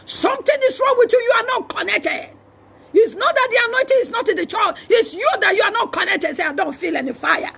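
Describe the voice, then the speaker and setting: A woman with a Nigerian accent preaching a fiery charismatic sermon, forcefully exhorting and often shouting, with emphatic repeated phrases. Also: extremely high pitch, lively intonation, a little fast, gravelly. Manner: shouting delivery. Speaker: preacher and prophet.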